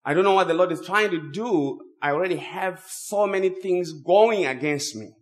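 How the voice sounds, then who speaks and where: A man from Zimbabwe, for whom English is a second language, preaching a sermon as a guest speaker while he has a cold. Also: sits high. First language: not English. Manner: slow, drawn-out emphatic phrasing.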